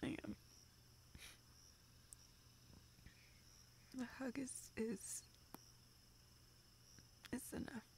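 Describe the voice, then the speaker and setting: A woman acting a tearful, self-deprecating young woman in an intimate audio roleplay, halting and rambling as she talks to a close friend. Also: fairly high pitch, steady tone, slight background noise. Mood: sad, soft. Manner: halting, rambling, soft laughs and whispered asides.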